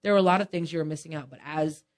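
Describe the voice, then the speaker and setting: A woman's voice: low-pitched, racing. A woman in her thirties who grew up in Japan, talking casually as a guest in an interview, with many fillers and "you know"s.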